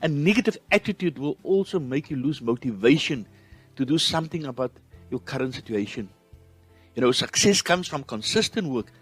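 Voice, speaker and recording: A man's voice: mid-range voice, fairly bright tone, slightly rough, no echo. A man with a South African accent hosting a motivational podcast, speaking in an earnest, encouraging way. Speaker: podcast host.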